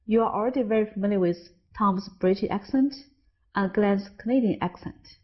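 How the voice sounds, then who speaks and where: A woman's voice: mid-range voice, fairly quick, husky, dull audio. A Chinese woman with a Chinese accent, an English teacher, narrating a scripted lesson and carefully stressing example words. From China.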